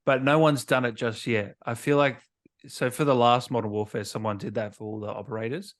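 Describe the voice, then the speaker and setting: A man's voice: medium pitch, fairly even intonation, brisk. A man hosting a casual gaming interview, speaking in an informal, matey way. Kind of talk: casual chat.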